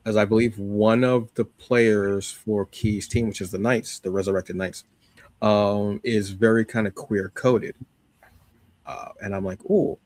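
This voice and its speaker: A man with a fairly low voice talks casually on a podcast, recorded close and dry. He recommends things with enthusiasm and leans on slangy emphasis. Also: no echo. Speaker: podcast co-host. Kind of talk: casual chat, giving recommendations.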